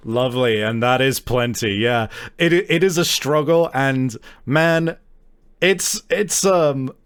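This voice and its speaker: A man's voice: mid-range voice, takes it slowly, clean tone, dry recording, clean studio sound. A man narrating an improvised tabletop game as game master, feeling out his phrasing in hesitant pieces.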